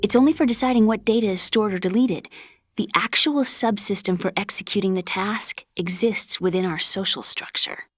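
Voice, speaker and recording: A woman's voice: medium pitch, moderate pace, no echo, studio quality, faint music behind, natural voice. An actress voicing an earnest, brainy young woman computer scientist in a video game, lecturing precisely and a little haughtily with pointed questions. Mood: grave, explaining. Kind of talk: scripted game cutscene dialogue.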